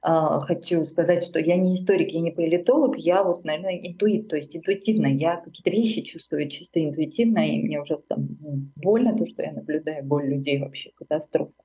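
A woman's voice: sits low, a little fast, hardly any pauses, muffled recording. A middle-aged woman from Armenia, a writer speaking as an interview guest in a reflective, searching way, full of fillers and self-corrections.

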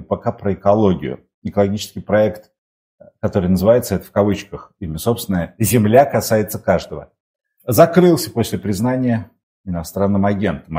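A man's voice: lowish voice, mellow. A man with a Moscow accent co-hosting a talk show, mixing news commentary with banter and reading from the chat. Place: studio.

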